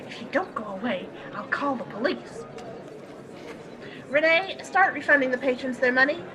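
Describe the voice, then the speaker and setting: A woman acting in a live radio play as a brisk, firm but kindly wife who runs a cinema. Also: high-pitched, lively intonation, a crowd in the background. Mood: firm, exasperated. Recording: clearly noisy streamed audio, clicks.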